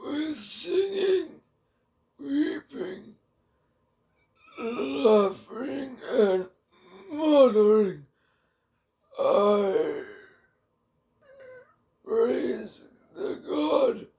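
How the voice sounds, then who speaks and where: Old male hermit saint, fervently pious, theatrical audiobook drama, extremely slow, echoing. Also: very high voice, very animated, gravelly, a little mellow, voice pushed up, exaggerated voice. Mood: fervent praise. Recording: roomy echo.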